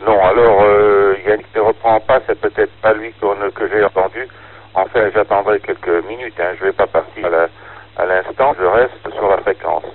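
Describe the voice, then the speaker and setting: An older man talking casually over amateur radio, giving call signs and speaking in a hesitant, halting way. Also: lowish voice, steady tone. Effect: two-way radio. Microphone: radio transceiver microphone. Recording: narrow, lo-fi two-way radio link.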